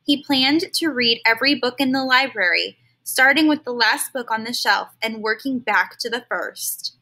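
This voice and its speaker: A woman reading a children's story aloud like a teacher, expressive and stopping now and then to explain words in simple terms. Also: fairly high pitch, normal speed, rough recording.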